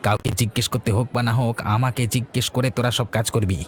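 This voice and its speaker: A man's voice: medium pitch, flat delivery, very fast, slight background noise, lightly acted. A man playing an arrogant, spiteful village bully in a fairy-tale cartoon, with a menacing, domineering delivery. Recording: background music throughout.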